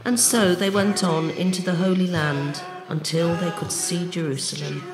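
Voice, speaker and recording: A narrator giving a measured, solemn dramatic reading of an old religious tale. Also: mid-range voice, steady tone. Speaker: storyteller, narrator. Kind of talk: dramatic reading of a medieval book, storytelling. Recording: music behind the voice. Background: plucked guitar music.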